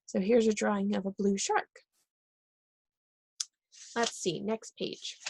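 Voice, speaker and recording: A woman reading a children's book aloud, pausing and correcting herself now and then. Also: mid-range voice, fairly even intonation, creaky, noisy background. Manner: reads aloud with pauses and self-corrections. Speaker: reader.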